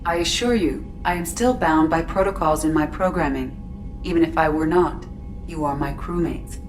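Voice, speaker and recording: An actress playing a calm, polite female ship artificial intelligence, speaking formally and precisely with deadpan humor in a video game. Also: deep voice, moderate pace, lightly acted. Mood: measured, reassuring. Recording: loud music behind the voice.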